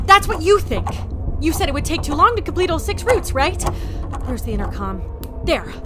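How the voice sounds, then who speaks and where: An actress playing a panicked, stubborn, lonely young agent in an audio drama, stammering and swearing. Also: female voice, high-pitched, big pitch swings, normal speed, background noise. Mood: scheming.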